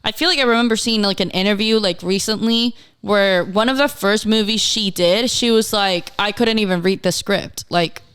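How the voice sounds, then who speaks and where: A woman's voice: mid-range voice, moderate pace, few pauses, a bit bright, clear, resonant tone. A woman in her twenties, a Spanish speaker from Latin America with a light accent, chatting as a podcast co-host and filling her talk with "like". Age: 20s. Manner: chatty, lots of "like" fillers. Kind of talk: casual chat.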